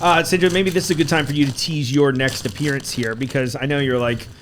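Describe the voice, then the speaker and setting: A man's voice: mid-range voice, steady tone, fast, a bit bright, slight background noise. A man hosting a food-tasting podcast in casual, joking conversation. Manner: joking, chatty. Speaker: podcast host.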